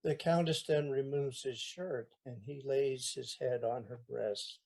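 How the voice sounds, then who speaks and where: A man's voice: medium pitch, steady tone, a little slow, gravelly, darkish tone. Matter-of-fact man reading stage directions. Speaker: narrator.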